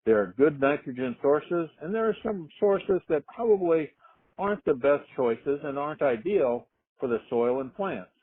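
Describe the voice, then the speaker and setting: A man's voice: mid-range voice, husky. A man hosting a conference call, giving a prepared introduction. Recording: tinny phone conference line.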